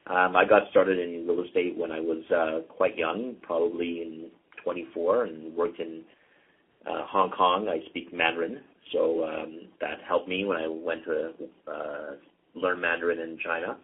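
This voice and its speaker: A man's voice: sits low, measured pace, slightly rough. A middle-aged Canadian man, a real estate executive, chatting as an interview guest with lots of ums and uhs. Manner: relaxed, many ums and uhs. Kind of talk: casual interview conversation. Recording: tinny phone-like line.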